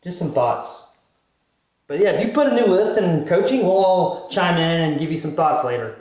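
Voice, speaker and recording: A man's voice: sits high, animated, moderate pace, a little mellow. A man coaching on a live card game show in casual strategy chat over a roomy voice call.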